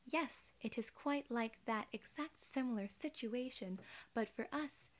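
A soft-spoken female alien gatekeeper, played by a young woman, with a slightly high voice. She is formal, measured and reassuring, in the style of close-up ASMR role-play. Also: close to an everyday voice. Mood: polite, reassuring. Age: 20s.

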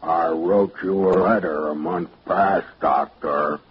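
Sinister rustic young man, deep slow drawl, old radio hiss. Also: fairly even intonation. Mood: menacing. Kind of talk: 1940s radio acting. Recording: dull old radio broadcast with static.

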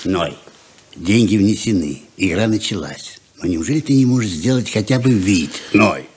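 A man's voice: fairly low pitch, expressive, normal speed, a little mellow, lightly acted. An actor playing a good-natured, hopeful farmer father in a 1970s Soviet radio play. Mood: coaxing. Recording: slightly noisy old radio play.

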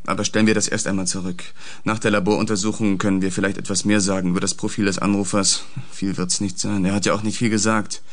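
An actor playing a formal, sober federal police official in a radio drama, speaking in a measured, matter-of-fact way. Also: male voice, lowish voice, flat delivery, no room sound, natural voice. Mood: businesslike, serious. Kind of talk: German radio drama dialogue.

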